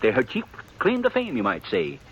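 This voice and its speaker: A man's voice: high voice, a little fast, creaky, muffled recording, exaggerated voice. An American man playing a flustered, wheedling small-town undertaker in a 1950s Western radio drama, stammering and rustic as he sweet-talks. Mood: wheedling, persuasive. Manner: stammering, rustic, excitable, persuasive. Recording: 1950s radio recording with faint noise.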